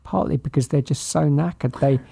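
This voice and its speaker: A middle-aged British man with a fairly high, creaky voice co-hosts a casual sports discussion. He speaks thoughtfully and a little hesitantly, often doubling back on words as he works out a point. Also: a little fast, dark tone. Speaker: podcast co-host.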